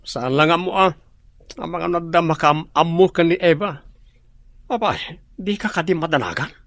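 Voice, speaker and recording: A man's voice: a little high, normal speed, fairly bright tone, no room sound. An actor playing a bitter man recovering in hospital, speaking in a grim, resentful way in a radio drama. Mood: agitated.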